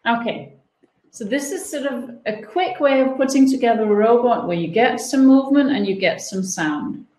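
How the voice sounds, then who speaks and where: A woman's voice: fairly high pitch, normal speed. Woman teaching in a roomy echo. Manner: relaxed, explanatory, uses playful comparisons. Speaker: webinar presenter. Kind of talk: online teaching demo. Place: home room, indoors. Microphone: laptop webcam video call.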